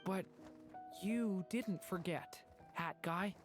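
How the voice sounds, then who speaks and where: A man voicing a gentle, thoughtful young little dragon in a video game. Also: high voice, takes it slowly, music in the background. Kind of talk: video game character acting.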